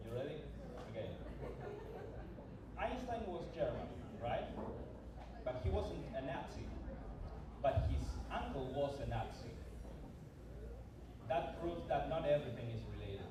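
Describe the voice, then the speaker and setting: A man from Argentina doing open mic stand-up in a bar, halting and self-deprecating, muttering asides between jokes. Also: medium pitch, expressive. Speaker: stand-up comedian. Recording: echoey bar from a distance, loud room noise.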